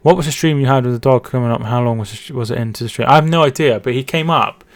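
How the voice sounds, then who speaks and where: A man's voice: medium pitch, racing, no echo. A man hosting a casual football watch-along stream from home, reading out chat and calling the match. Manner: chatty, repeats words and restarts while reading chat.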